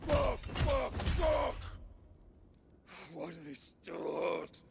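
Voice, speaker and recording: Vengeful wounded game warlord: high, strained gravelly roar, seething and explosive. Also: male voice, big pitch swings, extremely slow, noisy background, full character voice. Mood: furious. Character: enraged, vengeful, wounded warlord roaring threats. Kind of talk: dramatic video game acting.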